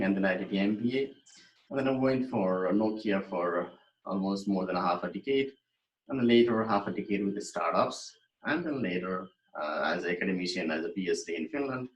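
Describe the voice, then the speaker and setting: A man, giving a recorded online lecture in a thoughtful, explanatory way, pausing with fillers and restarting his sentences. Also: sits low. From Nepal. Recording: echoey room.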